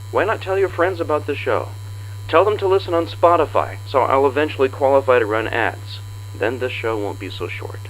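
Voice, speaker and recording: A man's voice: mid-range voice, slight background noise, low-quality recording. A man speaking as show host in a tongue-in-cheek, scripted address to the listener, wry and mock-exasperated.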